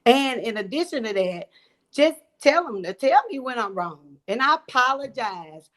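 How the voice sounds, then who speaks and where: Mother, panel guest, naturally loud, slightly high-pitched, eager. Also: expressive, moderate pace. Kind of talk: church panel discussion.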